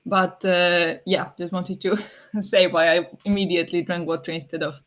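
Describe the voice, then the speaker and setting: Chatty woman streaming from home, slightly raspy. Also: lowish voice, little pitch movement, moderate pace, lo-fi sound. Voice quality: sometimes raspy, habitually clears throat. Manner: chatty, apologetic, greets chat viewers by name, frequent fillers and soft laughs. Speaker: livestream host. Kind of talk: casual livestream chat.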